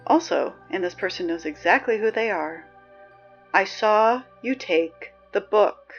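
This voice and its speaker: A young woman playing a wry, peppy teenage girl who reads high school announcements in a chatty, sardonic, emphatic way for a scripted audio drama. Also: animated, unhurried, dull audio, soft background music. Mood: annoyed.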